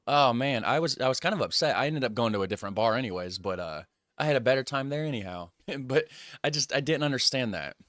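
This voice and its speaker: A man's voice: medium pitch, lively intonation, fast talker, fairly bright tone, dry recording, studio quality. A man from the United States hosting a reaction video and telling an exasperated story in casual talk.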